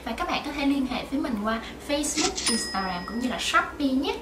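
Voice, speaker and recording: A woman's voice: high-pitched, expressive, fairly quick, reverberant, very noisy. A young woman vlogging to the camera in a small bedroom, chatting in a friendly way with many fillers. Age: young adult. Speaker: vlogger, shoe painter. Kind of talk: casual vlog talk to camera.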